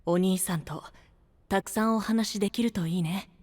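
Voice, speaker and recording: An actor playing a tender, soft-hearted youthful boy with a gentle, breathy voice in an anime-style voice drama. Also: high-pitched, normal speed, long reverb tail.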